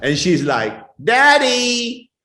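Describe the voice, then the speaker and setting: A man's voice: very high voice, huge pitch swings, takes it slowly, bright, forceful tone, slight background noise. A man with a Lithuanian accent giving spontaneous answers in an interview as an activist. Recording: echoey room.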